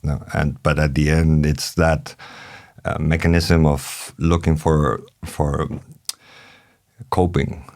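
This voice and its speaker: Low-voiced host, chatty, ending lines with "no?". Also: male voice, little pitch movement, slow, a little mellow. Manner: chatty, tags sentences with "no?". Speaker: podcast host. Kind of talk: conversational interviewing.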